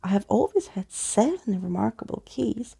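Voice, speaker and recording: Woman playing a pompous gravelly skeleton gentleman. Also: mid-range voice, sing-song, normal speed, exaggerated voice. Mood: proud, oblivious. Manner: theatrical, boastful, uses whimsical invented words. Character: pompous, cheerful male living skeleton with key fingers.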